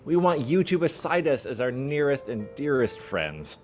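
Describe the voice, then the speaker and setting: A man's voice: mid-range voice, very animated, normal speed, a little mellow, soft background music, natural voice. A man acting in a radio play as a cheerful, easygoing bridegroom-to-be. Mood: happy, announcing.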